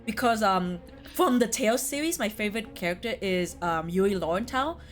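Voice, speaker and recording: A woman's voice: mid-range voice, lively intonation, brisk. A woman chatting casually as a co-host, talking in a deliberative way as if thinking aloud. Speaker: co-host ranking video game quests. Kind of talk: casual chat.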